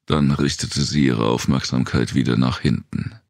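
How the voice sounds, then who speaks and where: Very deep, creaky male audiobook narrator. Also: steady tone, moderate pace, a little mellow, no echo, studio quality. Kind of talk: scripted first-person narration of a dark post-apocalyptic thriller.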